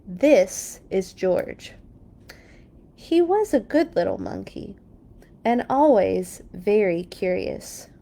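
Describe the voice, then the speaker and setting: A woman reading a children's story aloud in an expressive, playful way for young listeners. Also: medium pitch, slow pace, creaky. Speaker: children's read-along storyteller. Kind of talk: storybook read-aloud with animated asides.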